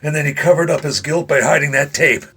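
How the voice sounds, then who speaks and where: An actor playing a dying old whistleblower in an audio drama, a prodding, emphatic mentor with a husky, strained voice. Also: male voice, a little high, fast talker, sharp tone. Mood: insistent, urgent.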